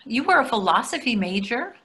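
A middle-aged woman playing a brisk, wry wife and mother in a play reading, dry and teasing over a video call. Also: on the high side, sing-song, fairly bright tone. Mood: firm, reassuring. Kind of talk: readers theatre play reading. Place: indoors at home, on a video call.

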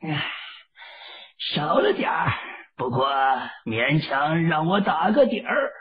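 A gloating, lecherous Taoist villain, put on by a male narrator, who stretches his words out slowly and raspily to savor every threat. His voice sits higher than the reader's own and swings widely between sneers and growls. It sounds thin and far off, like a phone-line recording. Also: big pitch swings, exaggerated voice. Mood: sneering. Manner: sneering, drawn-out, leering menace. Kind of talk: storybook villain voice in a read-aloud audio novel.

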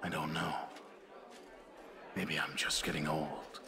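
An actor playing a world-weary, guarded aging dwarf assassin in a video game, gruff and measured, with a hidden tender side. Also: male voice, very deep voice, flat delivery, a crowd in the background, full character voice. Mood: weary, regretful. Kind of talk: scripted video game dialogue acting. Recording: game music behind the voice.

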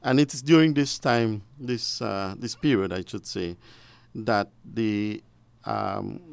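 A man giving formal, measured, halting explanations as an expert guest on radio. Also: on the low side, sing-song, creaky, smooth, no echo. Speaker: fisheries officer, studio guest expert. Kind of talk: explanatory interview answers. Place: radio studio.

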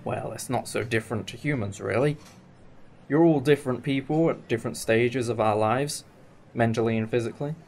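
A man's voice: medium pitch, a little fast, darkish tone. A man playing a wry, old-fashioned time-travelling alien leader in a fan audio drama, explaining things with some hesitation. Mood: wry, explanatory. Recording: amateur recording with clear background noise.

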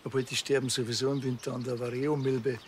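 An actor playing a dry, teasing small-town police officer with a Bavarian accent and a deadpan manner. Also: male voice, medium pitch, fast talker, slight background noise, close to an everyday voice.

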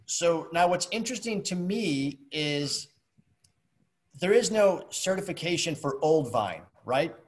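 A man's voice: a little high, lively intonation, unhurried. A man in his fifties hosting a virtual wine tasting over a video call, chatty and joking. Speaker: host.

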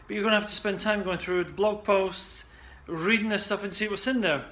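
A man's voice: sits high, fairly quick, fairly bright tone. A man in his forties, a salon marketing coach, talking casually to a desk camera in an indoor office, chatty and upbeat, with plenty of restarts and "uh"s. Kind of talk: casual direct-to-camera pitch.

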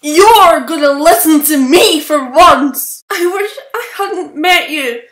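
A woman's voice: sits high, expressive, slow. A woman playing a feisty, girlish young princess with an emphatic, petulant delivery in a comic fandub. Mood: hurt, furious. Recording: roomy echo, faint music behind.

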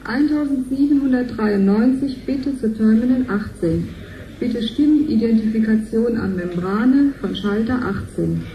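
An official female announcer voiced by an actress calls out impersonally in a soft, mellow, fairly high voice. It echoes like a hall public address in a sci-fi radio play. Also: a little slow. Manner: formal, official. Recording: echoey hall, faint noise.

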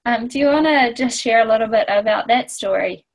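A woman from New hosts a casual webinar. Her voice sits a little high, and she is friendly and relaxed with fillers. She talks through an earphone mic from a small room at home. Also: low-quality recording. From New Zealand. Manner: friendly, quick to laugh, uses fillers. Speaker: webinar host. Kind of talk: hosting a casual webinar interview. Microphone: earphone headset on a video call.

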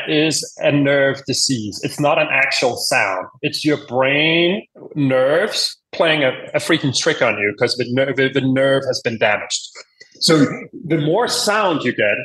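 A man's voice: medium pitch, bright, forceful tone, low-quality recording. A man, talking in an emphatic, informal way and often checking in with "right?" as he explains things. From Denmark. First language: Danish. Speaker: podcast guest, hearing aid company executive. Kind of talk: casual conversational explaining.

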